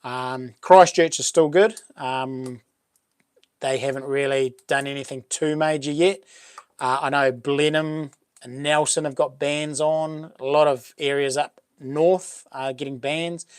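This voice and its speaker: A middle-aged New Zealand man with a big, boomy voice talks loosely and at length, full of ums and restarts. His pitch sits a little high for a man. The sound is close and dry, with no room echo. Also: measured pace, clean tone. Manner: relaxed, rambling, many ums and restarts. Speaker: livestream host. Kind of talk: casual live chat reading comments.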